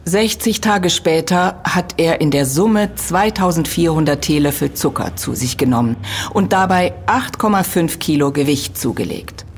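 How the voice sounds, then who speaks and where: A woman's voice: low voice, slight background noise. A woman playing a middle-aged professor in an audio drama, lecturing precisely and patiently correcting others with firm emphasis. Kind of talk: German audio drama dialogue.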